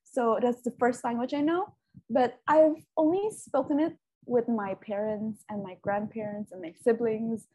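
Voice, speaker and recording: A woman's voice: a little high, unhurried, dark tone, breathy edge, rough recording. A young woman of Chinese background, a native Hokkien speaker, hosting a podcast in a chatty style full of "like". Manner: chatty, peppered with "like". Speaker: podcast host. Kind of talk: casual podcast chat.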